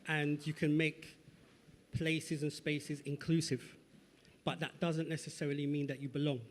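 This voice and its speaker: A man moderating a panel, posing a measured, thoughtful question to the guest. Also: on the high side, flat delivery, slight background noise. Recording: long reverb of a hall.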